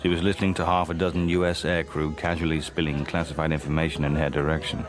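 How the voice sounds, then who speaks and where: An actor playing a wry, weary and guarded Cold War spy in a radio drama, with a dry, understated delivery. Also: male voice, extremely low pitch, level tone, quick pace, a crowd in the background. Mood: brooding, reflective. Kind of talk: radio spy drama acting with first-person narration.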